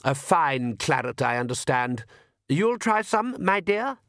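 A man voicing a cheerful, patronising aristocratic vampire father for a storybook, with smooth, deliberate, drawn-out delivery. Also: a little high, sing-song, a bit bright, no echo, clean studio sound, pitched above the performer's own voice, full character voice. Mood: cheerful, self-satisfied.

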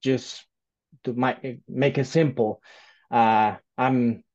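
A man's voice: mid-range voice, fairly even intonation, slow, darkish tone, lo-fi sound. Hesitant man from Colombia on a call. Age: late 20s. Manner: hesitant, many fillers and restarts. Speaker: podcast guest. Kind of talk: casual storytelling interview. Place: remote call from home.

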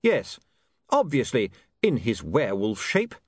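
A man voicing a prim, earnest young newspaperman who speaks in a crisp, proper way. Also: medium pitch, huge pitch swings, measured pace, no room sound, exaggerated voice.

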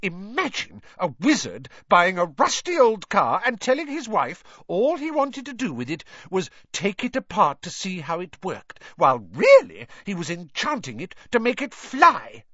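A man voicing a fierce middle-aged mother who scolds her husband in a shrill, shouting voice. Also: wildly swooping pitch, extremely slow, few pauses, dry recording, highs cut off, heavily acted. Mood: scolding.

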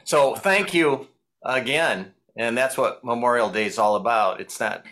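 Middle-aged Michigan man, bright forceful tone, rambling guest who chuckles between thoughts. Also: medium pitch, measured pace. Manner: rambling, chuckling, loose storyteller. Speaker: guest musician. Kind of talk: casual interview chat.

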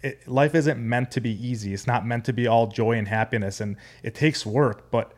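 A man's voice: medium pitch, normal speed, darkish tone. An American man talks conversationally as a guest on a podcast. He has an ordinary mid-range voice and explains his views in an earnest way. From United States and Jordan.

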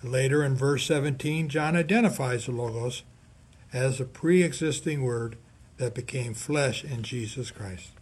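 A man reading a scripted scripture reflection as a priest, measured and deliberate, with emphatic pauses. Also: medium pitch, breathy edge. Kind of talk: scripted sermon reflection read aloud.

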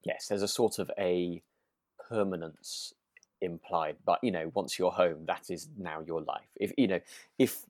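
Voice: sits low, steady tone, a little slow, a little mellow, no echo, studio quality. A podcast co-host chatting casually about a radio drama, rambling a little and often repeating words while thinking aloud.

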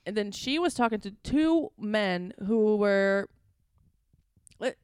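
A young woman with a Latvian accent, co-hosting a podcast and telling stories in playful, animated casual chat. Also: medium pitch, takes it slowly, clean tone, dry recording, clean studio sound. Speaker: podcast co-host.

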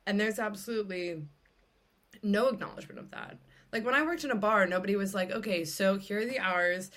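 A woman's voice: medium pitch, fairly quick, a bit bright. A woman hosting a casual conversational interview, talking in a candid, informal and emphatic way. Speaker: podcast host.

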